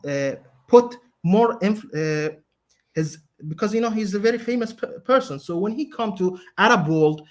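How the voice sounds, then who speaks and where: A man calling in to a debate, speaking in halting, broken English full of fillers. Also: high-pitched. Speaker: caller. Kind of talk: hesitant debate talk.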